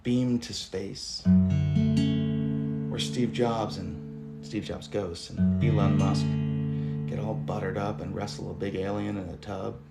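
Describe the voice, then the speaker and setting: A hoarse man in his thirties hosting a casual livestream, talking loosely and swearing now and then. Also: on the low side. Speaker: livestream host. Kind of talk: rambling casual livestream chat. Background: guitar music.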